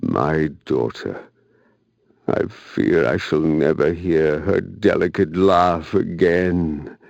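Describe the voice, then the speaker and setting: A man's voice: rumbling low, creaky, darkish tone, muffled recording, exaggerated voice. An actor playing a broken old king in mourning in a video game, drawing out his words mournfully. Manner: mournful, drawn-out. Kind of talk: video game cutscene acting.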